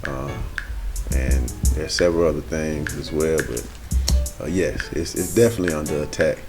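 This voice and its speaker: A man in his forties from Arkansas, answering an interview in a measured, hesitant way. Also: a bass voice, steady tone, music in the background. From Pine Bluff, Arkansas. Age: 40s. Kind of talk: casual opinion interview.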